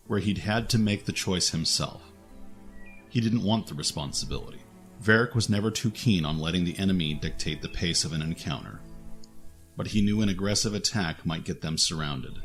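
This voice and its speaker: Deep-voiced man narrating fantasy action.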